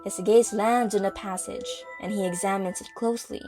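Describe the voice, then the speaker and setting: A woman narrates an audio play from a script. Her voice sits at a medium pitch, and music runs clearly behind her storytelling. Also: fairly even intonation, moderate pace.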